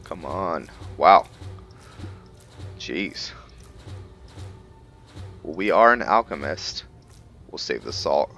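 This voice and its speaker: A man with a low, forceful voice talks through his roleplay game playthrough at an unhurried pace. He thinks aloud in a halting, casual way, with music playing faintly behind him. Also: sing-song, creaky. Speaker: gamer narrating a roleplay playthrough. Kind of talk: casual gameplay commentary with in-character lore explanation.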